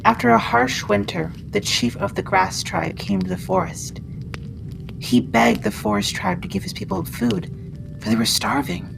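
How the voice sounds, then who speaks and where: Casting: actress as a caring female wendigo for ASMR roleplay, heavy vocal fry, gentle delivery, clear music bed. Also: mid-range voice, poor audio.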